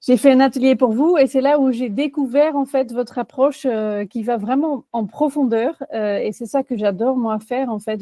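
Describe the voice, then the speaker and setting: A woman's voice: on the high side, mellow, no echo. Dutch woman, hesitant interview answers. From Netherlands. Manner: hesitant, many fillers and restarts. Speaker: career coach.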